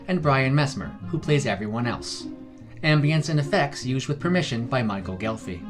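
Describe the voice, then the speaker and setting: Male fantasy storyteller over music. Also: medium pitch. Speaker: narrator of a fantasy fiction podcast. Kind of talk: scripted storytelling narration. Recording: music behind the voice. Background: background music.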